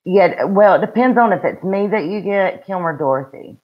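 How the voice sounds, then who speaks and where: A woman's voice: mid-range voice, animated, normal speed. A middle-aged woman with a Southern US accent, co-hosting a true-crime livestream from home in blunt, folksy casual chat over a webcam. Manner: chatty and blunt, folksy slang. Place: room at home. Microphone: webcam on a video call. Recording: dull lo-fi video call audio.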